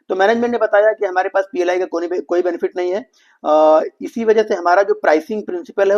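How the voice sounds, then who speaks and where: A man's voice: a little high, darkish tone. An Indian man presenting a financial explainer, addressing viewers as friends and mixing English business terms into his explanations. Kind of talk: explainer commentary on an earnings call. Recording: dull lo-fi voice recording.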